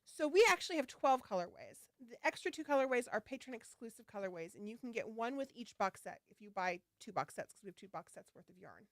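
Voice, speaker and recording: A woman's voice: on the high side, lively intonation, quick pace, hardly any pauses, no echo. A woman hosting a casual livestream for her shop, chatty and a little scattered, with a slightly nasal voice from a head cold. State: getting over a head cold. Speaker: yarn shop owner hosting a launch livestream.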